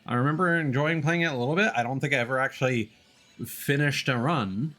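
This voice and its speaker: A man's voice: mid-range voice, a little fast, fairly bright tone. A man thinking aloud in an informal, self-deprecating way while commentating on a gaming livestream. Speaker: video game live streamer. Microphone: headset microphone.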